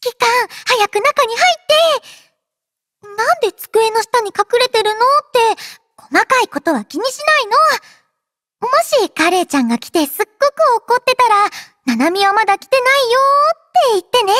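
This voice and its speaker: A woman's voice: very high-pitched, lively intonation, moderate pace, a bit bright, dry recording, studio quality. A woman voicing a playful, affectionate, childlike robot girl in an anime game, with a cutesy, teasing manner. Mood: cheerful, mischievous. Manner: cutesy, refers to herself in third person, teasing. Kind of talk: anime game character voice lines.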